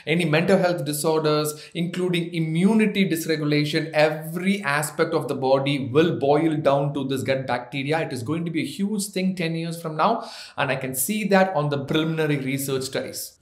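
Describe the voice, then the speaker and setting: A man in his thirties from India with an Indian accent, giving health advice to camera as a doctor, listing points and slipping in light jokes. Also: fairly high pitch. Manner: gently joking, lists points one by one. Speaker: doctor, health channel host. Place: office with framed certificates, indoors. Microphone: seated, medium shot to camera. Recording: echoey office room.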